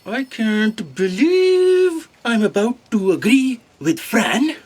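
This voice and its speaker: Woman as a husky, sceptical aide. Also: a little high, sing-song, takes it slowly, darkish tone, full character voice. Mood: exasperated. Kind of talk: audio drama acting.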